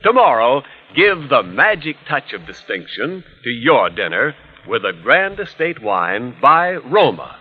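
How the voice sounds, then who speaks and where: A man's voice: medium pitch, big pitch swings, measured pace, breathy edge. An American man reading a scripted 1940s radio commercial as a polished, florid announcer. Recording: dull old 1940s radio recording.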